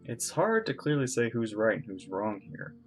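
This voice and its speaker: A woman's voice: extremely low pitch, sing-song, normal speed. A woman streaming a game, reacting live and thinking aloud about what happens. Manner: musing aloud, thinking through the plot. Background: game music.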